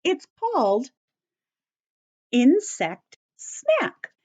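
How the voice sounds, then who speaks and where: A woman teacher giving a story-time lesson to young children, explaining in simple, deliberate phrases and repeating key points. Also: high-pitched, huge pitch swings, a little mellow.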